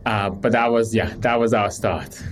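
Relaxed low-voiced man from Ukraine. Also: moderate pace. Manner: relaxed, rambling with many fillers and restarts. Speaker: guest, startup CEO. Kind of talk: casual interview talk.

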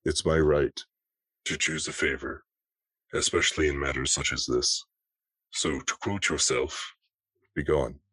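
A man voicing a hooded, faceless reaper who referees the afterlife with calm authority, in measured, formal pronouncements. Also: rumbling low, slightly rough, dry recording, exaggerated voice. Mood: stern, commanding.

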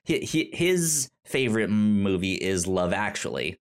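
A man co-hosting a podcast, casually discussing movies in a halting way, often repeating syllables and the starts of words. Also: fairly low pitch, big pitch swings, smooth. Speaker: podcast co-host.

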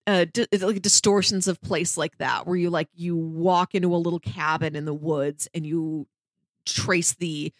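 A woman's voice: on the low side, fairly even intonation, moderate pace, dry recording. A woman co-hosting a podcast, chatting casually and enthusiastically as she recommends things in a discursive, conversational way. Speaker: podcast co-host. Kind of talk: casual review chat, recommending audio dramas.

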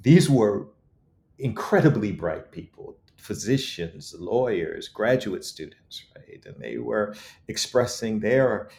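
Man, low voice, interviewed author, thoughtful delivery, earnest, articulate, rhetorical emphasis. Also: expressive, slow, gravelly, a little mellow. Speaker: author interviewed about his book.

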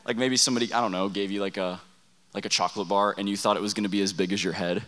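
A young man preaching an energetic youth camp sermon, hyping up the crowd and calling for responses, his voice a bit worn. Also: on the low side, very fast. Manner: hyped, calls for crowd responses. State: losing his voice. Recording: long hall reverb.

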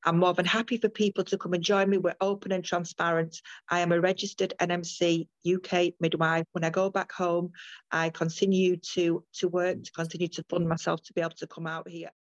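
Low, even-toned older British midwife. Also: female voice, a little fast, no room sound, lo-fi sound. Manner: earnest, many restarts and ums. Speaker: guest midwife. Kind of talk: interview over a call.

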